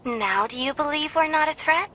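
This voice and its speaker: A woman voicing a gentle, peaceable machine village leader in a video game, speaking politely and formally in measured phrases. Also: sits high, lively intonation, a little hiss or hum. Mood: courteous, mild.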